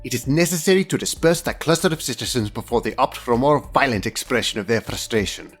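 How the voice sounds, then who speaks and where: A man's voice: medium pitch, animated, fast, slightly rough, soft background music. A man playing a fussy, officious senior inspector in an audio drama, irritated and speaking in formal, precise, wordy phrases. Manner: formal, exacting, wordy diction. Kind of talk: audio drama character acting.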